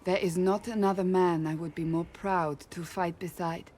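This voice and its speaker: A woman's voice: on the low side, fairly even intonation, moderate pace, a little mellow, slight background noise, close to an everyday voice. An actress voicing a composed, devoted warrior woman in a video game, speaking formally and earnestly. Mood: tender, earnest. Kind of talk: video game character acting.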